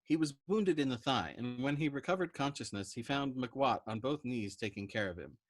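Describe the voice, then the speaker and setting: A man reading a novel aloud in an expressive storytelling style. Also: mid-range voice, normal speed. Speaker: storytime reader. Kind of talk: expressive audiobook narration of a novel.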